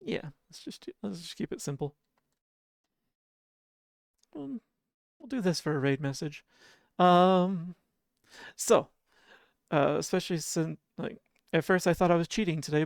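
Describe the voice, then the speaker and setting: A game streamer with a sleepy-sounding voice, chatting casually and softly with viewers, often chuckling and humming idle filler tunes. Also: medium pitch, lively intonation, unhurried, creaky, long pauses, no echo, clean studio sound. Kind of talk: casual livestream chat.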